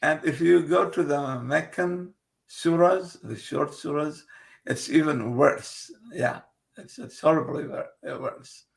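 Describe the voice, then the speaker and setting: Male guest, Kurdish first language, unhurried and hesitant, slightly high gravelly voice. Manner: halting, many pauses and fillers. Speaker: guest, translator. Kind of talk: casual interview conversation.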